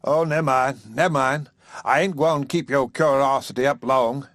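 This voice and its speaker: A man's voice: mid-range voice, moderate pace, fairly bright tone, no echo, studio quality, natural voice. A man doing a pompous drawling preacher. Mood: courting. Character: self-satisfied male preacher courting a widow.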